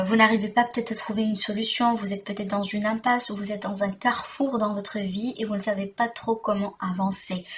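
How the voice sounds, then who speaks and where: A woman's voice: mid-range voice, fairly bright tone. A woman reading tarot for viewers informally, speaking directly to them with frequent check-in tags and emphatic, repetitive phrasing. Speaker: tarot reader, host. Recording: distant mic, faint background noise.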